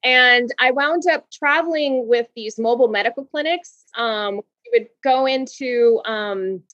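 A woman in her forties from Hawaii, a guest telling her story in an interview, bubbly and quick to laugh, restarting words. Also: fairly high pitch, a bit bright, no room sound, dull audio.

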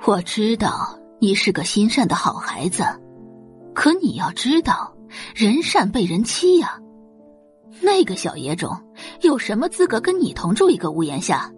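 A woman's voice: mid-range voice, big pitch swings, soft background music. Woman acting a haughty, scornful rich mother. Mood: fond, contemptuous. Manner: haughty, dismissive, drawn-out sighs and snorts. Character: scornful middle-aged rich mother, doting on her daughter.

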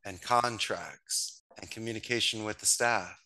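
Man, from Northeast Philadelphia, podcast guest, bright voice, fairly low pitch, animated storytelling. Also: poor audio. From Northeast Philadelphia, USA. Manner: animated, storytelling, quick jumps in pitch when amused. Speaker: podcast guest, business owner. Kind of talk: casual interview talk.

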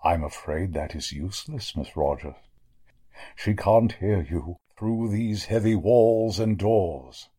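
Guy doing a creepy old doctor from an old radio mystery, deep n husky, very polite and formal but menacing. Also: slow, mellow, exaggerated voice. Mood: coldly menacing. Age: older. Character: sinister elderly male doctor, courteous, secretly a murderer. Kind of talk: 1940s radio mystery acting.

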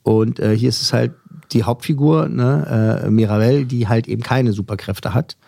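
Gravelly husky radio host. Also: male voice, medium pitch, mellow, no room sound, studio quality. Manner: brisk, chatty, many fillers. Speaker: radio film critic, host. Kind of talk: radio film segment, casual banter.

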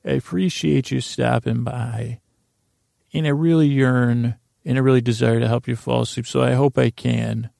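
A man hosting a podcast, talking in a meandering, halting way and drifting into a slow, lulling bedtime monologue. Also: mid-range voice, steady tone, vocal fry, warm tone, no echo, studio quality. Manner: meandering, halting, with restarts and filler words; slow drowsy lulling delivery. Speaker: podcast host.

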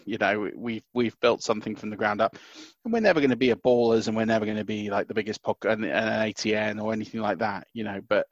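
A man co-hosting a podcast from home, talking loudly and excitedly, often restarting his sentences. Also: lowish voice, quick pace, dry recording, muffled recording. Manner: excitable, stumbling restarts, loud. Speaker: podcast co-host. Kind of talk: casual podcast chat. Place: small house.

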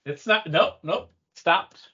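Male co-host, slightly high bright voice, casual banter, a touch muffled on the recording. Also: expressive, slow pace, breathy edge. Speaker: podcast co-host.

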